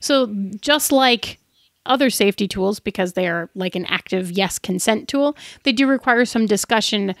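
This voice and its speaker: A woman's voice: mid-range voice, moderate pace, no echo, studio quality. A woman co-hosting a podcast, explaining things in a casual, conversational way. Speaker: podcast co-host. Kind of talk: casual chat about tabletop game advice.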